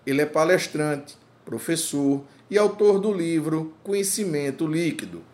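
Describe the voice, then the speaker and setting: Guy hosting a business interview, formal and polite, voice a bit high. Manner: formal, measured, complimentary. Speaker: interview host.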